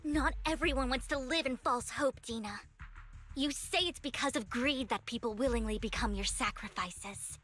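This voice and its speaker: Casting: actress as resolute young game heroine, high voice, earnest and firm, pleading edge, light music bed. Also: female voice, normal speed, fairly bright tone, natural voice. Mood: earnest, reproachful. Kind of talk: dramatic anime video game voice acting. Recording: music behind the voice.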